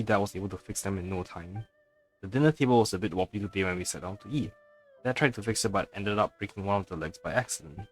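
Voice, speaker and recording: A man's voice: fairly low pitch, poor audio. A man streaming a video game, casually reading on-screen text aloud and reacting with the odd curse. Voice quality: casual, swears. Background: faint game music.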